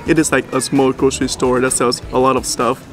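A young man from Vietnam chats to a handheld camera in a relaxed vlog. His pitch stays level and even, sitting mid-range for a man. Background music plays clearly beneath his voice. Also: brisk. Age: 20s. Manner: chatty, animated storytelling to camera. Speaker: vlogger. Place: apartment room indoors, then city streets and a shop.

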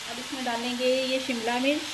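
A woman's voice: high voice, level tone, a little fast. A woman hosting a home cooking show, giving recipe instructions step by step. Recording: loud frying sizzle and stirring noise. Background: sizzling pan and stirring.

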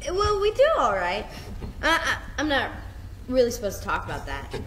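A woman's voice: a little high, huge pitch swings, moderate pace. A woman reading a polite, nervous teenage girl at a script table read. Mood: hesitant. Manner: hesitant and polite. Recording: echoey room, loud hiss.

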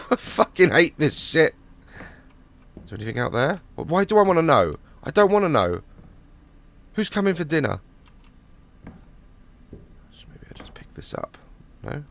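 Hoarse young man, jumpy commentary. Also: a little high, big pitch swings, a little slow, long pauses, a bit bright. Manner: jumpy, swearing, nervous joking. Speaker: gaming YouTuber. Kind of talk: horror game let's play commentary. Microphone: headset mic.

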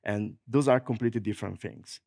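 A middle-aged man with an Italian accent presents a technical session into a handheld mic in a large conference hall. He talks in a lively, joking way, filling pauses with "uh". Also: on the low side, gravelly, clean studio sound. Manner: lively, fillers like "uh", jokes and laughs. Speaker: conference speaker. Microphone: handheld microphone.

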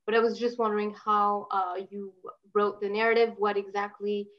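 A woman, a festival programmer, moderating a video call Q&A in a measured way with frequent fillers. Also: mid-range voice, little pitch movement. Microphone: laptop webcam. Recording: dull lo-fi call audio.

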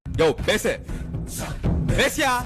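A man's voice: sits high, expressive, very slow, loud music behind the voice. A man delivering comic dialogue in a movie clip.

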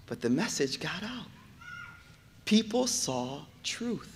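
A man giving a Friday sermon, moving from calm storytelling to loud, emphatic preaching and repeating phrases for emphasis. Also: high voice, slow pace, a little hiss or hum. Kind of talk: impassioned sermon. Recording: echoey hall reverb.

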